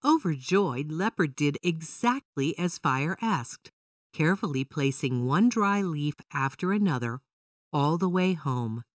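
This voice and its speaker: Female children's storyteller: scripted narration, animated intonation, dry sound, a little distant from the mic. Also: deep voice, measured pace, no echo.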